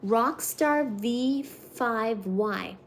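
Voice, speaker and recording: A woman in her thirties from India, chatting casually with her viewers on a livestream, giggly and quick to get excited reading the chat. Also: fairly high pitch, slight background noise. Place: home room with cat shelves.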